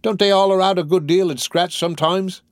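A man's voice: sits high, steady tone, fairly quick, dry recording, clean studio sound, pitched above the performer's own voice, lightly acted. A man voicing a greedy, cowardly young lout in a storybook reading, self-important and full of questions. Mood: wary, angling.

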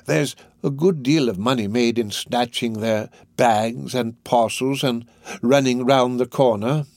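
Guy doing a sly old crook from a storybook, slow and wheedling, drags his words out. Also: mid-range voice, a little mellow, no echo, studio quality, lightly acted. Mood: sly, coaxing. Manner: slow, drawn-out, ingratiating. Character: sly, wheedling older male criminal fence.

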